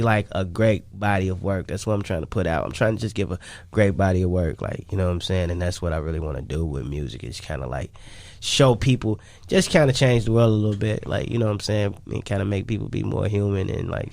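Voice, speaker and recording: A man's voice: on the low side, gravelly, a little mellow, dry recording. A man chatting casually as a musician guest on a radio interview, slangy and repeating himself. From Chicago, United States. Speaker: musician guest, rapper and songwriter. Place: radio studio.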